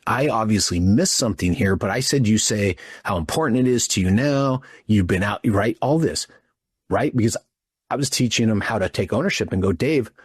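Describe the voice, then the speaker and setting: Blunt man in his fifties, lower-pitched, husky. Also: dry recording, studio quality. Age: 50s. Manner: blunt, informal, punctuates points with "right?" and "dude". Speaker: interview guest, front desk trainer. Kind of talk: casual, animated opinion talk.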